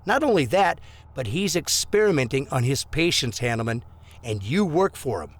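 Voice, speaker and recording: A man's voice: mid-range voice, sing-song, normal speed. A man playing a corrupt, bullying policeman in an audio drama, mock-friendly and insinuating as he leans on people. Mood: threatening, insinuating. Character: corrupt small-town policeman, smooth, bullying blackmailer.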